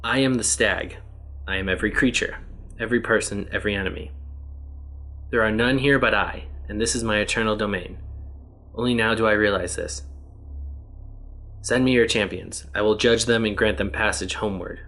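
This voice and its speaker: A man voicing a weary male test subject stranded for ages in another world, read out in an even, plain reading voice. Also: on the low side, moderate pace, pauses often. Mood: solemn.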